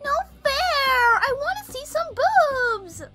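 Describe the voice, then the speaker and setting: A woman voicing a bubbly, mischievous, excitable cartoon teenage girl in a fan audio story, exuberant and childlike. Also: extremely high pitch, slow pace, loud music behind the voice, heavily acted. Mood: playful whining. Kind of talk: animated character acting in a fan audio story.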